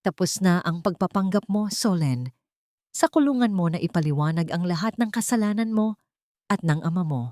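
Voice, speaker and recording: A woman narrator plays a scheming rich villainess with a lowish voice and a dry, close sound. She taunts and accuses with gloating contempt, in the manner of a melodramatic audio storybook. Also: lively intonation, normal speed, darkish tone, no room sound, close to an everyday voice. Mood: cold triumph. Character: cold, scheming, gloating wealthy female villain.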